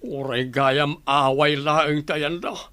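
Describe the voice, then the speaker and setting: Actor playing village family man, Ilocano accent, fairly high voice, plain-spoken, radio drama. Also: fairly even intonation, slow pace, bright, forceful tone, clear, resonant tone. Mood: grumbling.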